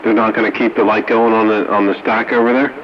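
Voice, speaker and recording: A man's voice: fairly low pitch, little pitch movement, fast talker. A man chatting casually over a two-way radio. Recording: muffled, noisy two-way radio transmission.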